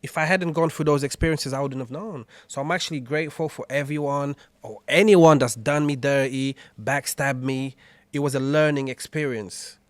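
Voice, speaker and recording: Casting: Angolan-born man, reflective podcast guest, measured tone, mid pitch, dry mic. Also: dry recording. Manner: calm, reflective, frequent "you know". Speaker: guest rapper.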